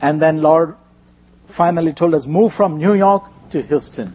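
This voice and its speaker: Male preacher, Indian accent, Gujarati native, high-pitched, husky, short sermon phrases. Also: normal speed, darkish tone.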